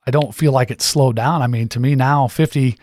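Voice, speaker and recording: A man's voice: mid-range voice, fairly even intonation, fairly quick, darkish tone, no echo, studio quality. A man in his fifties from Central Iowa, a guest on a local radio show, answering in an easygoing, agreeable way. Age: 50s. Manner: easygoing, short agreeable replies. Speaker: interview guest, insurance agent. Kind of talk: casual interview chat. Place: small radio studio.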